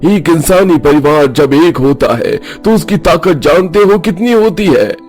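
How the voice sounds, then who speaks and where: A man's voice: a little high, a little slow, darkish tone, lightly acted. A man voicing a grave, instructive village holy man in a cartoon horror story. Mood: grave warning. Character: solemn village holy man who battles spirits. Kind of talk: Hindi animated story dub. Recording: music under the voice.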